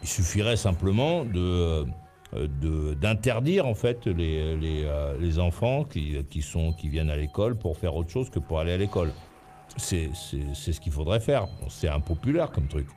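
A middle-aged male radio host in a small dark studio, speaking hesitantly with many fillers and blunt opinions. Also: deep voice, big pitch swings, normal speed, faint music behind. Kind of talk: casual late-night radio talk. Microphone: studio mic with headphones.

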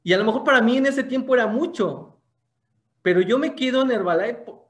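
A young man with a fairly high voice tells his own story to an audience. He speaks over a webcam from a room at home and keeps asking his listeners rhetorical questions. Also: brisk. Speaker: motivational speaker giving a business testimony. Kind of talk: motivational testimony talk. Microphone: webcam on a video call.

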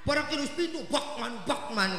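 A middle-aged man with an Indramayu Javanese accent doing stage comedy banter, loud and teasing, repeating words rapidly into a handheld mic. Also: very high-pitched, expressive. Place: outdoor village stage. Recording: echoey outdoor PA with music.

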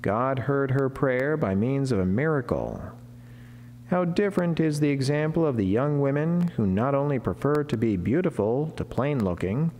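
A man's voice: mid-range voice, darkish tone. Man reading solemnly in a reverberant chapel. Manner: formal, measured delivery with deliberate pauses. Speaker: Franciscan friar giving a daily saint reflection. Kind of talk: solemn read sermon, devotional reading. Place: wood-panelled chapel, indoors, at a lectern. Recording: long church reverb, clear background noise, hum.

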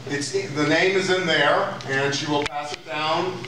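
A man presenting awards at a film festival, speaking slowly and deliberately in a list of phrases. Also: a little high, steady tone, background noise. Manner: slow, deliberate, listing phrases. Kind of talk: public announcement at an event. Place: large lecture hall. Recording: echoey hall taped from far off.

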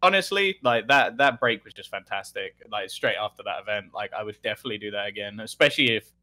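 A man's voice: on the low side, lively intonation, pushed and bright. A man in his thirties, a guest on a podcast, chatting casually over a video call and laughing often. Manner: laughing, quick asides. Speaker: guest, esports caster. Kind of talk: casual chat. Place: home room, indoors. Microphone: headset on video call.

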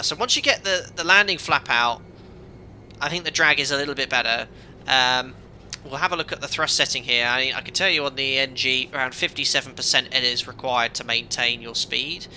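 Bright-voiced man talking through a flight. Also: mid-range voice, normal speed, a little hiss or hum. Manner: calm running commentary, reads out checklist items. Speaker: airline pilot streaming a flight simulator. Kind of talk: live commentary while flying.

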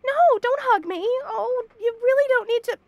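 A woman's voice: very high-pitched, normal speed, no echo, dull audio. A woman voicing a shy young bunny girl roommate in a romantic comedy audio roleplay, stammering and protesting in a flustered way. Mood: flustered, indignant.

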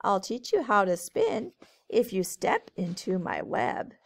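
Woman reading as slow, coaxing spider lady. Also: mid-range voice, very animated, gravelly, full character voice. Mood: sly. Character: plump hungry female spider, coaxing and sly. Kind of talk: children's storybook read-aloud.

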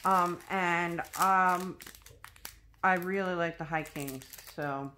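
A woman's voice: on the low side, slow pace, sharp tone, a little hiss or hum. A woman from New Mexico with an American accent, chatting casually and hesitantly as she crafts. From New Mexico, USA. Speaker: host of a crafting vlog. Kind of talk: casual chat while crafting. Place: indoors at a craft table.

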